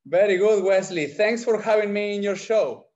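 A middle-aged man with an Argentine accent and Spanish as his first language, answering interview questions haltingly as he searches for words. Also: high voice, a little mellow. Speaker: entrepreneur, podcast guest. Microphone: video call microphone. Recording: dull, lo-fi video call.

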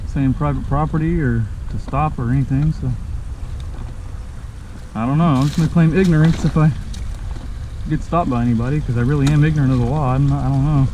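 A man's voice: mid-range voice, steady tone, brisk, pauses often, dark tone, low-quality recording. A man giving casual commentary while fishing outdoors by a creek, talking to himself and to the fish, recorded on a head-mounted action camera. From Texas. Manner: talks to himself and the fish, frustrated exclamations. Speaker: fishing vlogger. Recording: outdoor camera mic with clear background noise.

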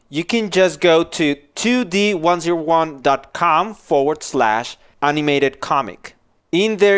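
A man in his forties giving an informal software tutorial, explaining casually step by step into a headset microphone in a small office. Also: fairly high pitch, clean tone. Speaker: animation tutorial instructor.

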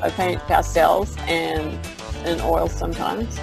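A woman with a gravelly, creaky voice speaks plainly and haltingly, stopping to find her words. Music plays clearly under her voice. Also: fairly low pitch, little pitch movement. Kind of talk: casual video-call chat.

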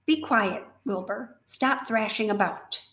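A woman's voice: mid-range voice, slow. Woman doing a weary dying spider. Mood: weary calm. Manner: quiet, calm, weary. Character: weak dying female spider, calm and wise. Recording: roomy echo.

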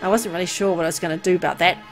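A woman's voice: fairly low pitch, fairly even intonation, very fast, faint music behind, lightly acted. A woman voicing a timid, poor young boy who is hesitant, apologetic and naively eager to please, for a game. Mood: relieved, nervous.

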